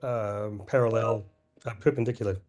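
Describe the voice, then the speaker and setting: Hoarse man giving a halting science talk. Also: on the low side, fairly even intonation, darkish tone. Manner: halting, many fillers and restarts. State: losing his voice, clearing throat. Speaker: conference presenter.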